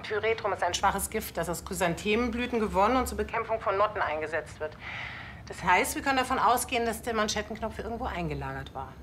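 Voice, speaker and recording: An actress playing a friendly, precise forensic pathologist in a TV crime comedy. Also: female voice, mid-range voice, expressive, quick pace, fairly bright tone, slight background noise, natural voice. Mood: friendly, factual.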